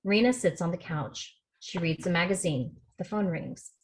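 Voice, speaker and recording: A woman's voice: on the low side, lively intonation, moderate pace. A woman reading out stage directions as scripted narration at a table read over a video call.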